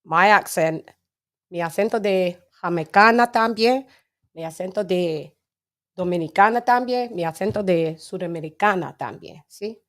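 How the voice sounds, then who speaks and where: A woman's voice: lowish voice, moderate pace, fairly bright tone. Chatty English woman switching to Spanish. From England. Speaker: livestream host. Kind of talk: casual live chat with viewers.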